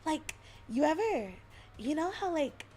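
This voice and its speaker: A woman's voice: sits high, sing-song, unhurried, warm tone, a little hiss or hum. A young adult woman from Alabama chatting casually on a livestream, giggling often and rambling between thoughts. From Alabama, US South. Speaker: streamer.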